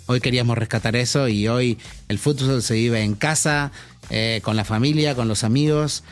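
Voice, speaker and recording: A man's voice: mid-range voice, fairly even intonation, normal speed. A middle-aged man from Argentina with an Argentine accent, a marketing executive answering a podcast interview in a thoughtful way, with many fillers and restarts.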